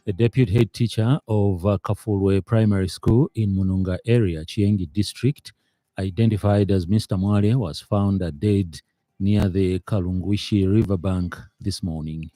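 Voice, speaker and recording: A man with a Zambian accent reading a scripted radio news bulletin in a measured, formal, slightly hesitant way. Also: sits low, fairly even intonation, over music. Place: radio studio.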